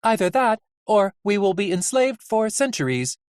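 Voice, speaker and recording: An audiobook reader voicing a morbid, matter-of-fact female nurse robot with deadpan menace. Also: mid-range voice, moderate pace, smooth, no room sound, studio quality, pitched above the performer's own voice, lightly acted. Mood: morbidly blunt. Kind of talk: audiobook character acting.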